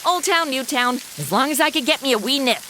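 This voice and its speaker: A woman's voice: sits high, expressive, fairly quick, sharp tone. A woman playing a brash, sarcastic, whiny modern American sidekick in a comedy audio drama, full of slang. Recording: noisy, rain-like hiss behind.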